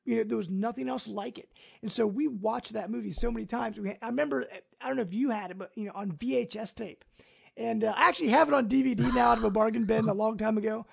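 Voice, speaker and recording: High-pitched, gravelly man chatting on a podcast. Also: fast talker. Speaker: podcast host. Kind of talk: casual podcast discussion.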